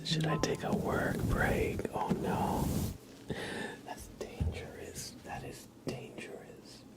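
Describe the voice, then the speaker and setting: A man doing casual ASMR chat as a live streamer, murmuring and whispering softly and gently, with trailing pauses. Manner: soft, breathy whispering and low murmuring.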